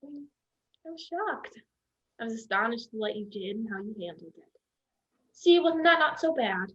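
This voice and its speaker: A woman's voice: a little high, expressive, measured pace, a bit bright, highs cut off. A young woman on stage playing a protective, confrontational, doting middle-aged mother in a student play. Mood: doting, proud. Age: young adult. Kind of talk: stage acting in a student one-act play. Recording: echoey stage room.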